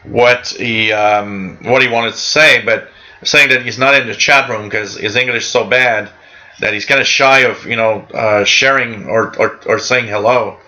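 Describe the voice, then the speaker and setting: A man's voice: mid-range voice, fairly even intonation, measured pace, sharp tone, poor audio. A man whose first language is French hosts a live radio hobby show, chatting casually with viewers in a rambling, friendly way full of fillers and restarts. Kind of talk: casual live-stream chat reading out viewer comments.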